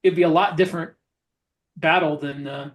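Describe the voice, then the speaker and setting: A man's voice: a little high, steady tone, moderate pace. A man musing aloud in casual chat as a podcast co-host.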